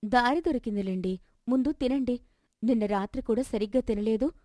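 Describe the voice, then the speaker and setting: Woman acting worried, pleading farmer's wife, high-voiced. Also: a little fast, a little mellow, no room sound, studio quality, natural voice. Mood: soothing. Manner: pleading, cautioning. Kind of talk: dramatized folk moral story acting.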